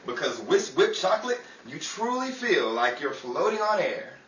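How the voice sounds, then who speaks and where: Man playing a lively, echoey 1930s radio pitchman. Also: normal speed. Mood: salesy, eager. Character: smooth-selling 1930s radio chocolate pitchman, eager and salesy. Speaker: commercial announcer. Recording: echoey stage, distant mic, audience hiss.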